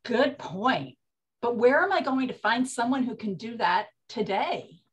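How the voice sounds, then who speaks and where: An actress playing a brash, slangy speakeasy proprietress of the 1920s in a comic period drama. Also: female voice, on the high side, lively intonation, unhurried. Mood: bossy, brisk. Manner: bossy, slangy. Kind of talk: period comedy radio-play acting.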